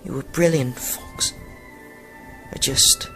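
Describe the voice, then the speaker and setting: Actor as teenage boy wizard, medium pitch, earnest, weary, hushed, audio drama. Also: steady tone, takes it slowly, soft background music. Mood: weary, reassuring.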